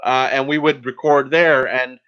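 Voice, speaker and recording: A man's voice: mid-range voice, fairly even intonation, moderate pace, fairly bright tone, smooth. Male co-host, mid-range voice, conversational explainer, muffled lo-fi stream sound. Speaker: podcast co-host. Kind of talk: casual podcast chat. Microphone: inexpensive plug-in external mic on a laptop. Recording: dull, lo-fi computer audio.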